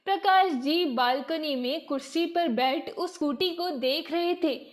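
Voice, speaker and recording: High-pitched, unhurried woman storyteller. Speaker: narrator. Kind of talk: scripted moral story narration.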